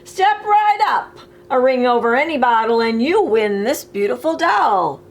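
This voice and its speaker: A woman voicing a big gruff carnival booth woman who calls out brashly in a storybook. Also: on the high side, sing-song, unhurried. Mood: brash barking. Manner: brash, calling out.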